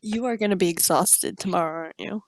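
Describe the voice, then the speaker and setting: A teenage girl joins over voice chat, giggling often and teasing her friend in easy, casual talk. Her voice sits at an ordinary pitch. It sounds dry and close, with no room around it. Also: fast talker, no echo. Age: teens. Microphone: voice chat mic.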